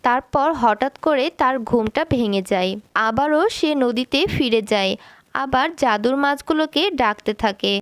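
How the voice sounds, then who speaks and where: Female storyteller for animated folk tales, slightly high voice, dry sound. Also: normal speed, clear, resonant tone, dry recording. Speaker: story narrator. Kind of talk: scripted cartoon fairy-tale narration.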